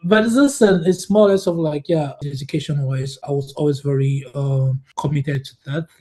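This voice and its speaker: Mellow-voiced man from Cameroon, halting. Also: on the high side, fairly even intonation. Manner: hesitant, many fillers and restarts, laughs often. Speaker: guest. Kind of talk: casual interview storytelling.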